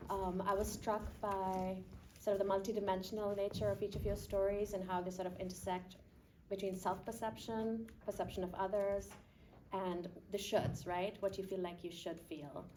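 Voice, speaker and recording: A woman's voice: mid-range voice, flat delivery, normal speed, warm tone. A middle-aged woman from Pakistan, moderating a panel Q&A and asking her question thoughtfully in long winding sentences. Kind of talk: audience Q&A at a live panel. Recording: distant mic with faint room noise.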